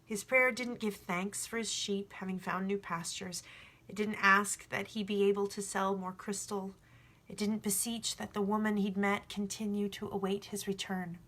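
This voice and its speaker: Bright, husky woman reading aloud. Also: medium pitch, level tone, normal speed. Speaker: storybook reader. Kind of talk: book read aloud with informal asides.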